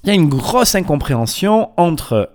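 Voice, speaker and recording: A man's voice: on the high side, big pitch swings, normal speed, a little mellow. Guy doing a solo podcast, chatty and slangy, goes off on tangents, really emphatic. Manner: informal, playful, slangy, emphatic, digressive. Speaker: podcast host. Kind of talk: casual solo podcast monologue.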